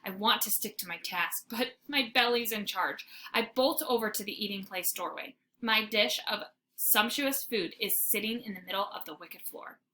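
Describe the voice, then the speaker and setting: Female teacher-style storyteller, bright and expressive, reading to children over faint background noise. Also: mid-range voice, moderate pace. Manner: dramatic, animated storytelling. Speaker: teacher reading aloud to students. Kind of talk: expressive children's book read-aloud. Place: informal homemade recording.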